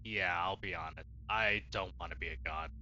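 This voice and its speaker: Very bright, animated man, slightly dull recording. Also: low voice, normal speed. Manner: expressive, emotional, casual with swearing. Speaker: let's play streamer. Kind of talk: gameplay commentary with reading aloud of dialogue choices. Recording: dull lo-fi mic, faint noise.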